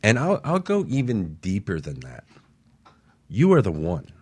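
A man's voice: lowish voice, wildly swooping pitch, unhurried, darkish tone, slightly rough, dry recording. A man hosting a motivational talk show, speaking in an emphatic, preachy way and repeating phrases for effect.

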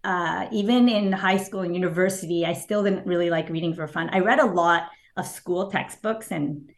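Woman, first language Japanese, author guest, low pitch, gravelly fry, hesitant. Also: moderate pace. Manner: thoughtful, hesitant with fillers and soft laughs. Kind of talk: casual interview answers.

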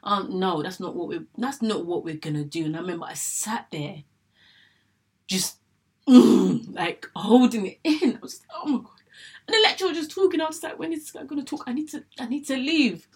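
A young woman from England speaks candidly about her own experience in a chatty personal monologue. Her voice is slightly husky, with a mid pitch. She loops back on phrases and acts out her own thoughts as she goes. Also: lively intonation, a little fast, a little mellow. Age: young adult. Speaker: vlogger sharing her illness story.

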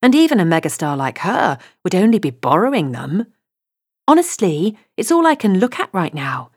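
A woman's voice: lowish voice, big pitch swings, normal speed, dry recording, studio quality. A woman reading a comic novel aloud in first person, wry and chatty. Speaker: audiobook narrator.

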